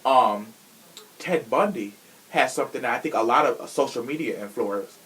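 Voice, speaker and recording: A man's voice: mid-range voice, normal speed, fairly bright tone, low-quality recording. A man hosting a casual true crime podcast, talking in a sassy, frank way with profane slang. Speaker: podcast host.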